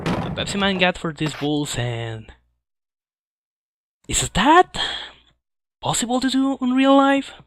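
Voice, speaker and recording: A man who speaks English as a second language, giving halting live commentary as he speedruns a video game. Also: high-pitched, big pitch swings, no room sound. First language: not English. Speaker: speedrunner commentating own play. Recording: loud game music behind the voice. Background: video game music.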